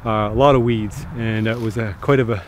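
A man's voice: on the low side, steady tone, a little fast, a little hiss or hum. A man, chatting casually to a handheld camera outdoors in the woods, easygoing and full of fillers and restarts. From Canada. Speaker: homesteading vlogger. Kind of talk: casual outdoor vlog talk. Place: outdoors in snowy woods and fields. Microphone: handheld camera at arm's length.

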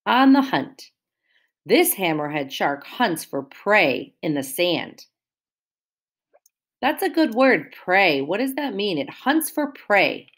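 Slow, lively-voiced woman teacher reading aloud. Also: medium pitch. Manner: sing-song, asks the children questions and explains words. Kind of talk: read-aloud lesson for young children.

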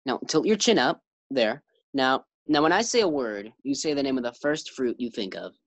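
A woman's voice: rumbling low, expressive, normal speed, no room sound. A woman playing an eager, bumbling young husband in a 1940s-style radio comedy. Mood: playful. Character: young husband George, eager bank clerk.

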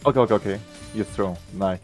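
A man's voice: lowish voice, fast. A man on voice chat during a game, answering his partner in short, repeated, clipped exclamations. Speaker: gaming duo partner. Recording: music behind the voice.

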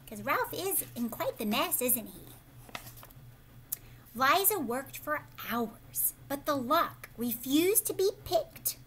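A woman in her thirties reading a picture book aloud to children in an expressive, explanatory way, pausing to ask questions. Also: high-pitched, a little hiss or hum. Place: living room at home, indoors.

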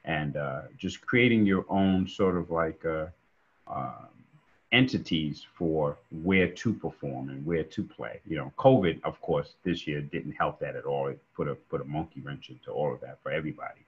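A middle-aged man, chatting relaxed as a guest on a video call, with many fillers. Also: very deep voice, normal speed, husky. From New York. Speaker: guest musician. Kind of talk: casual interview over video call. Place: home room indoors. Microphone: video call webcam. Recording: dull lo-fi call audio.

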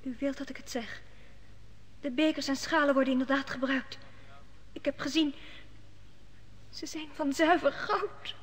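An actress playing a frightened young servant in an old radio drama, speaking haltingly and tearfully. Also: female voice, high-pitched, steady tone. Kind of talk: 1950s-60s radio drama acting. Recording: old radio play with long reverb.

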